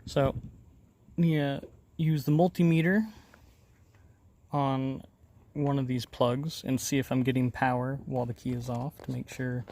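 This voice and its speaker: A man gives a casual hands-on tutorial in a mid-pitched voice. He explains things step by step in informal language, as the host of a DIY demonstration. There is clear noise behind him. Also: steady tone, measured pace, long pauses, warm tone. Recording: noticeable background noise.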